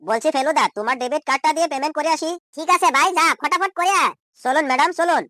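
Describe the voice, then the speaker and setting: Man playing an exasperated cartoon fellow, pitched-up voice. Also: fast talker, pushed and bright, dry recording, studio quality. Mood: irritated. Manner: questioning, repeating himself indignantly. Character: exasperated, scolding ordinary village man. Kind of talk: amateur comedy cartoon dubbing.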